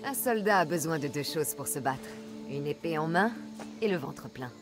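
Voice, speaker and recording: An actress playing a tough, self-assured female mercenary in a video game dub, speaking in a measured, firm way. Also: sits low, expressive, over music. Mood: determined. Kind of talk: dubbed video game dialogue.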